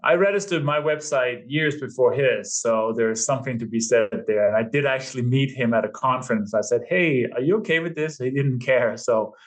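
Danish-accented man in his fifties, podcast guest, relaxed storyteller with a mid-range voice. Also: medium pitch, moderate pace, hardly any pauses. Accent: slight Danish. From Denmark. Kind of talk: casual interview chat.

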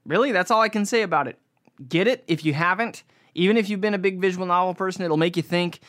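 A man's voice: sits high, quick pace, a bit bright. A young American man hosting a podcast, giving a casual, rambling, self-deprecating solo review in informal language. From United States. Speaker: podcast host.

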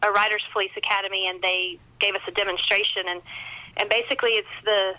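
Bright-voiced woman, chatty interview guest. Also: medium pitch, little pitch movement, quick pace, low-quality recording. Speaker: author, podcast guest. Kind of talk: casual interview conversation.

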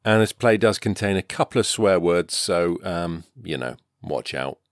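A man's voice: low voice, lively intonation, normal speed. A man hosting a podcast in casual chat, with a dry, joking, slightly hesitant manner. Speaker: podcast host.

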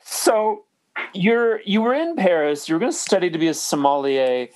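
A man's voice: high-pitched, expressive, normal speed, poor audio. A man hosting a casual interview, teasing his guest with dry asides. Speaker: host.

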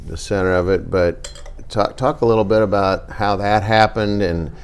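A man's voice: fairly low pitch, moderate pace, clear, resonant tone. A man hosting a casual chat, asking questions in an easygoing, joking way. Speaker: talk show host.

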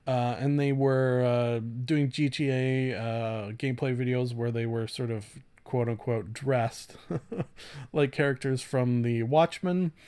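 A man hosting a solo podcast in a casual, rambling way, halting often, swearing lightly and putting himself down. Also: mid-range voice, fairly even intonation, mellow, clear, resonant tone. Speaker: podcast host.

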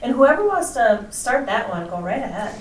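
A woman's voice: on the high side, very animated, moderate pace. A woman hosting an interview podcast as a school superintendent. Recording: roomy, distant mic, faint noise.

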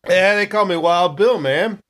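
A man's voice: high voice, unhurried, fairly bright tone, voice pushed up. A man playing a cocky young Marine in a tabletop game, with brash, slangy talk. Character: cocky young male space Marine called Wild Bill.

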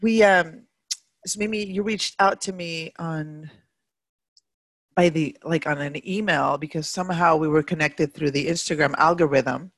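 A woman's voice: sits low, measured pace, no room sound. A woman hosting a podcast, chatty and enthusiastic, using casual slang. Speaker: podcast host.

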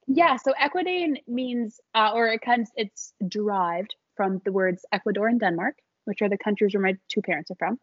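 A woman's voice: medium pitch, normal speed, hardly any pauses, dry recording, muffled recording. A young adult woman, a small business founder, talking casually as an interview guest, chatty and laughing often with many fillers.

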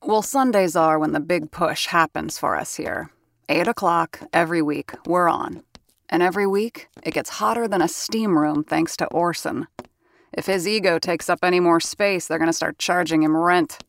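An unflappable woman working in a radio studio. Her voice is a little low, and she confides in the listener with dry, sardonic humour. It has the feel of a narrated period audio drama. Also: moderate pace, gravelly. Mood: wry, confiding. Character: wry, unflappable female radio sound-effects worker narrating her story.

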